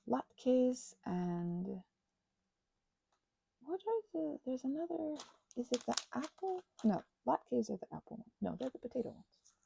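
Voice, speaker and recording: A woman rambling casually and unscripted while crafting, halting and wandering off on tangents, with excitable, gushing exclamations. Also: fairly high pitch, gravelly, husky, dry recording. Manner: halting, digressive, with gasps and exclamations. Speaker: craft and ASMR channel creator.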